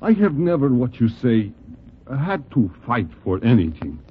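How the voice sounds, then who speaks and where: An actor playing a naive, earnest exiled king turned boxer, with a foreign accent and halting, stilted English, in 1940s radio style. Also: medium pitch, very animated, slight background noise. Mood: troubled, halting. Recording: tinny, band-limited old radio recording.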